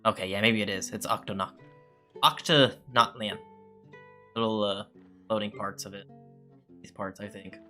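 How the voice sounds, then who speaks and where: A male gaming streamer with a lowish, bright voice, commenting casually as he plays. He rambles and thinks out loud, with faint music behind him. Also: steady tone, normal speed, pauses often.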